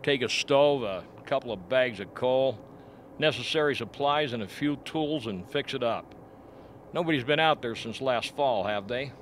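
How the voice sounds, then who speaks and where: A man acts out a frank, grim scientist doctor in a radio play. He explains in a deliberate way at a middling pitch, over faint background noise. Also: natural voice. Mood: firm, explanatory. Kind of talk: amateur radio play acting.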